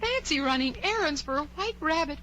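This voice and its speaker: A woman's voice: high voice, lively intonation, brisk, sharp tone, slight background noise, rough recording. A woman playing a curious, polite but outspoken young girl in a radio play, prim and chatty. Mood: wistful, fretful. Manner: prim, chatty, thinking aloud. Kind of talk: radio play acting of a children's story.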